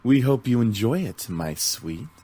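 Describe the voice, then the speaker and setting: Breathy, seductive teasing incubus man. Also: mid-range voice, lively intonation, warm tone. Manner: teasing, seductive drawn-out phrasing. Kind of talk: visual novel character acting.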